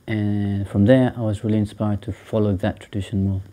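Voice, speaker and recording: Low, mellow-voiced monk, thoughtful and halting. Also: male voice, flat delivery. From Sri Lanka, raised in England. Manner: thoughtful, hesitant with many ums and restarts. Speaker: Buddhist monk and teacher. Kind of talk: interview answers. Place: small indoor room by a shrine.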